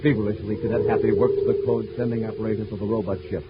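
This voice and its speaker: A man's voice: lowish voice, little pitch movement, rapid-fire, natural voice. A man narrating a vintage space adventure radio serial in a dramatic announcer style. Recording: muffled, very noisy old radio recording.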